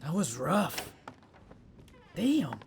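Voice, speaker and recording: Animated, high-voiced man reacting to a game. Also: drawn-out words, gravelly, a little hiss or hum. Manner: theatrical, exclamatory reactions. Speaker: video game streamer. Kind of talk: casual gameplay commentary.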